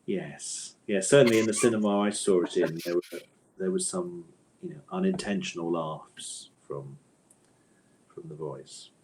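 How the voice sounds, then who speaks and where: A man's voice: sits low, unhurried, a little mellow, slight background noise, low-quality recording. A man answering interview questions conversationally as a guest author, with a thoughtful, hesitant manner and bookish phrasing.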